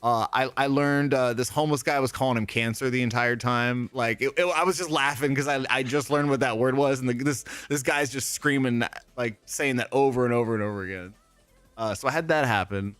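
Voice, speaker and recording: A man chatting in an interview, telling stories with bursts of laughter and quick voices for the people he describes. His voice sits in a middle register. The sound is close and dry, with faint music underneath. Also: mid-range voice, smooth, dry recording. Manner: animated storyteller, laughs often, acts out people he quotes. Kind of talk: casual interview chat.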